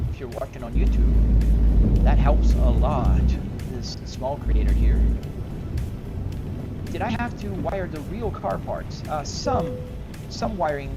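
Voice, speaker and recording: Brazilian-accented man streaming over loud music. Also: on the high side. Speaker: live streamer playing a truck simulator. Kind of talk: casual live-stream chat. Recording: dull audio under loud music.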